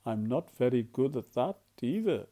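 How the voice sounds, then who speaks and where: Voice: medium pitch, lively intonation, moderate pace, warm tone, natural voice. A storyteller voicing an earnest, plaintive young male blackbird in a storybook. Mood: discouraged. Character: young male blackbird, eager, easily discouraged, storybook hero.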